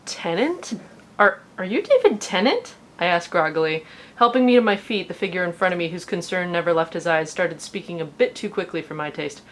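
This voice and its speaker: Low-pitched woman reading a story aloud. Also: fast, fairly bright tone. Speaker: fan fiction reader on a comedy show. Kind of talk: expressive storytelling read-aloud with casual asides.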